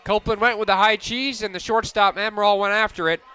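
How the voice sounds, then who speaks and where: A man calling softball play-by-play for radio, narrating each pitch and play in crisp descriptive detail. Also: steady tone, fast talker. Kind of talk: live softball radio commentary.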